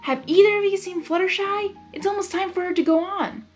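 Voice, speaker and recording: A woman's voice: extremely high pitch, brisk, faint music behind, pitched above the performer's own voice. A woman voicing a poised, earnest female cartoon pony princess who speaks politely and formally. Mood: concerned.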